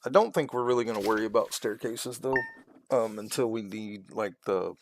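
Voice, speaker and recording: A woman giving casual let's-play commentary as a gaming channel host, thinking aloud in an unhurried way. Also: very deep voice, noisy background. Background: game music and sound effects.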